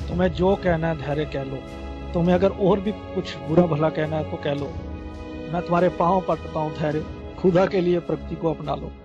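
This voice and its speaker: A man's voice: a little high, steady tone, normal speed, lightly acted. A man playing a hot-tempered elder brother. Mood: pleading. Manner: loud, forceful outbursts. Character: stubborn elder brother, unmarried, quick-tempered. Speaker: actor in a radio play. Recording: muffled recording with music behind.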